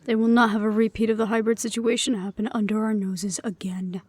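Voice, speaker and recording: A woman playing a composed, dutiful middle-aged leader, speaking in a measured, reflective way in an audio roleplay. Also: medium pitch, little pitch movement, breathy edge, no echo, natural voice. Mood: reflective, resolute. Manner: measured, reflective, quietly firm.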